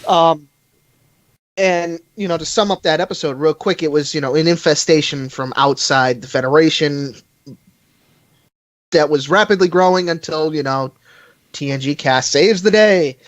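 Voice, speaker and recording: A man chatting casually as a podcast host, rambling and halting, with frequent hesitations. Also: fairly high pitch, no echo, dull audio. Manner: halting, rambling, chuckles often. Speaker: live stream host. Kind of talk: casual fan podcast chat.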